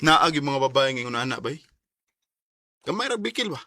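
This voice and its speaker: A man's voice: medium pitch, normal speed, dry recording, studio quality, faint music behind. An actor playing a teasing, familiar male friend who gives advice, with a Cebuano Filipino accent in a radio drama. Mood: advising. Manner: animated, familiar. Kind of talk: Bisaya radio drama acting.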